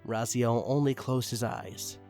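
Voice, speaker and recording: A man's voice: medium pitch, steady tone, a little slow, darkish tone. A man reading dramatic fiction aloud as a scripted narrator. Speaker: narrator and story reader. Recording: background music under the reading. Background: music.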